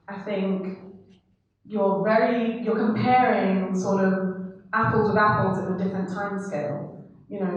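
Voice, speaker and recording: A woman from Trinidad with a Trinidadian accent, speaking as a panellist in a reflective, conversational way with fillers. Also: medium pitch. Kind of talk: panel discussion. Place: university hall. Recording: echoey hall, speaker far from mic.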